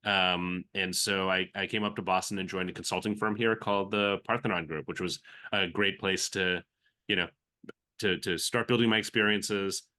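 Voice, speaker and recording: A man's voice: sits low, fairly even intonation, moderate pace, sharp tone. A man in his forties, born in the Philippines with an American accent, speaking as a podcast guest in a reflective way with many fillers and restarts. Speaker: venture capital guest. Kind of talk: conversational podcast interview.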